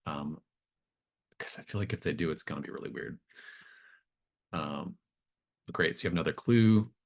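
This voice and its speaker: A man's voice: rumbling low, moderate pace, gravelly. A man acting as game master, improvising narration for a tabletop game in a hesitant, thoughtful, informal way.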